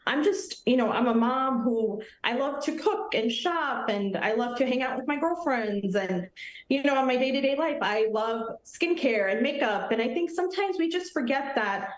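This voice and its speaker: Earnest friendly woman guest on morning tv, kinda high voice, roomy echo. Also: lively intonation, normal speed. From Nepal. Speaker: Army officer guest. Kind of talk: morning TV interview.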